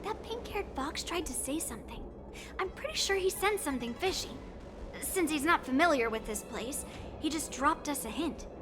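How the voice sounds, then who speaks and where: A woman's voice: sits high, lively intonation, moderate pace, over music. A woman playing a proud, mischievous young warrior girl in a video game, blunt and teasing. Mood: matter-of-fact.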